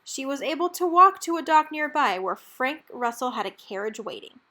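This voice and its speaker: A woman reading a children's picture book aloud in an expressive, measured storytelling manner. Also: sits high. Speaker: storybook reader.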